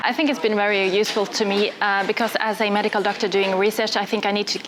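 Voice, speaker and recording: A woman's voice: medium pitch, flat delivery, fast, background noise. A woman, a medical doctor and researcher, speaking hesitantly in an interview with many fillers and slightly non-native phrasing.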